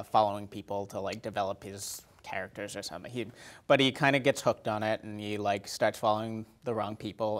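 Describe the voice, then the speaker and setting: A man chatting casually as a podcast guest in a halting way, often saying "like" and correcting himself. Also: lowish voice. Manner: halting, many "like"s and self-corrections. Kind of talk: casual chat.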